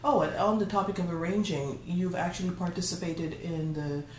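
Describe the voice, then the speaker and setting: A woman's voice: on the low side, moderate pace, a little mellow, slight background noise. A woman hosting an interview, asking questions and prompting her guest, often finishing his sentences. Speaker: interviewer, host. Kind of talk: conversational interview. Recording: echoey room, faint ambience.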